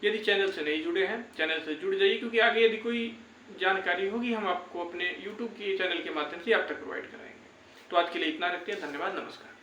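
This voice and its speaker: High-pitched young man, echoey room. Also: a little fast, bright, forceful tone, highs cut off, slight background noise. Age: young adult. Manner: reassuring, repeats phrases. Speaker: YouTube exam-update presenter. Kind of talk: informal motivational update talk to viewers. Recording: echoey, roomy sound.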